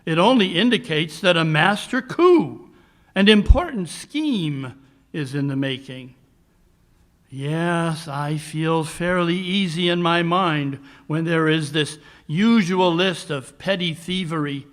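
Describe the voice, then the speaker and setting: A man's voice: a little high, a bit bright. A man playing a coolly brilliant, brooding Victorian detective in a 1940s radio mystery style, speaking in precise, declamatory phrases. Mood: grave, brooding. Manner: deliberate, precise, declamatory monologues. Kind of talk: 1940s radio mystery acting, live re-creation.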